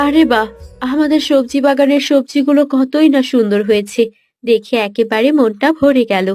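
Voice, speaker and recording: A woman voicing a cheerful, practical village farmer's wife in a cartoon story, giving brisk advice. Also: high voice, fairly even intonation, darkish tone, dry recording, faint music behind, lightly acted. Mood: delighted. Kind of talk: dramatised cartoon story dialogue.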